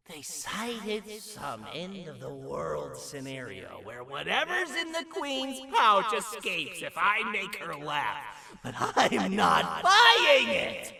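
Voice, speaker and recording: Crazed jester creature, extremely swoopy pitch, theatrical, needy, shouts then broods, video game villain. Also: male voice, vocal fry, heavily acted. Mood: bitter, wounded. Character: manic jester monster, desperate to make his queen laugh. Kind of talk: video game character acting.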